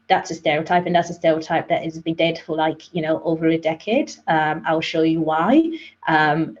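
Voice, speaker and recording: A woman who grew up in Nigeria, speaking as a panelist on a webinar, emphatic and lively, firing off questions. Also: on the low side. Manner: animated, emphatic, with rising questions. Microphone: video call. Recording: lo-fi video call audio.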